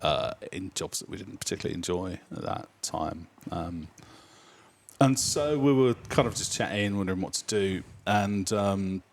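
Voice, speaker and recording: Hesitant deep-voiced man. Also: moderate pace, creaky. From Nottingham area, England. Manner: hesitant, many ums and uhs. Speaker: brewery owner and guest. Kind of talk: casual interview chat. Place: brewery.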